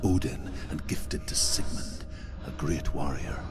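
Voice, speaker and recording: An actor playing a grave storyteller of Norse legends in a game, speaking in a measured, ominous way. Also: male voice, rumbling low. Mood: solemn, ominous. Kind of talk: solemn mythic narration in a game. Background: loud music.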